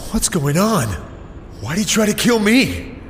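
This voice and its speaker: A man's voice: high voice, big pitch swings, measured pace, a little hiss or hum. A man playing an alarmed, bewildered businessman targeted as a victim in an audio drama, in long reverb. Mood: frightened, shocked.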